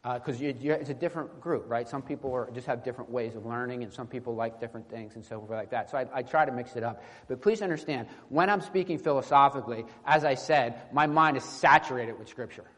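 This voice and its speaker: A man preaching an informal, teaching-style sermon in a halting, conversational way, often checking in with short tag questions. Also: mid-range voice, a bit bright. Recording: echoey hall with long reverb.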